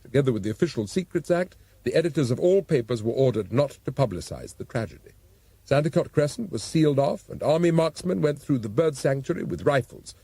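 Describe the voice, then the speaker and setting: Wry, expressive male narrator. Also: mid-range voice, husky, dry recording. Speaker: audiobook narrator. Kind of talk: scripted audiobook narration.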